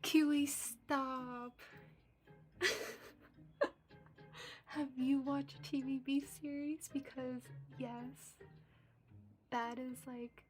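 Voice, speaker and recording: Giggly young woman chatting slowly. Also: high voice, level tone, a little mellow, soft background music. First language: Cantonese. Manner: chatty, giggly, reads out and answers viewer comments. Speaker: live-stream coloring host. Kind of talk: casual chat with live chat readers.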